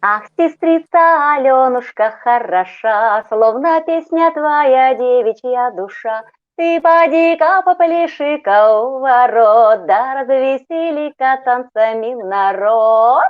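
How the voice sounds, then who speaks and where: Woman poet from russia, sing-song recitation, slow, muffled call audio. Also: high-pitched, expressive.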